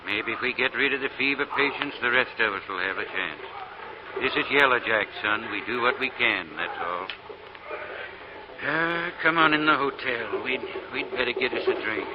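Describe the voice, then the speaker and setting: A man's voice: medium pitch, normal speed, lightly acted. An actor playing a folksy, fatherly older doctor in a 1940s radio drama. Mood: resigned. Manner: folksy, plainspoken. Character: folksy, fatherly older Southern doctor, resigned but dutiful. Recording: noisy, muffled 1940 radio broadcast.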